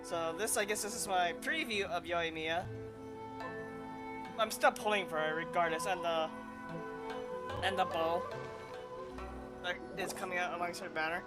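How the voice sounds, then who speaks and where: A woman streams a game in a casual manner, with a lowish voice. Clear game music plays behind her as she comments and reads dialogue. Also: measured pace, pauses often. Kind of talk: casual game playthrough, reading dialogue choices aloud.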